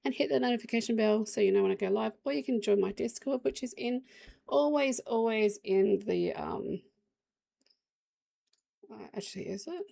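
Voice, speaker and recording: Hoarse woman streamer with a gravelly voice. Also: a little high, moderate pace, mellow. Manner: chatty, rambling, talks straight to viewers. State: hoarse, has the flu. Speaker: game live streamer. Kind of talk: casual stream chat with viewers.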